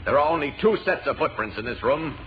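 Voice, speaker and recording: An American actor playing a steady, firm, commanding superhero in 1940s radio drama style. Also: male voice, medium pitch, a little fast, soft background music, close to an everyday voice. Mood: firm, puzzled. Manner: firm, matter-of-fact. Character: steady, commanding male superhero, reassuring and decisive. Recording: muffled old radio recording.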